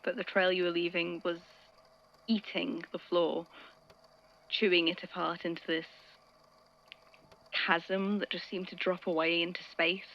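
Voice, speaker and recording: A woman playing a shaken, devoted friend in an audio drama, speaking in a halting, hushed, earnest way. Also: on the low side, dull audio. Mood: haunted, awed. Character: Grian, shaken devoted friend recounting a rescue. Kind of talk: intimate dramatic monologue in audio fiction.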